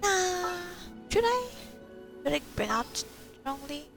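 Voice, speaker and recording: A woman's voice: extremely high pitch, very animated, slow pace. A woman giving casual, playful gameplay commentary, slangy and half talking to herself. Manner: playful, slangy, muttering to self. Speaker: gamer, streamer. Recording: game music behind voice. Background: video game music.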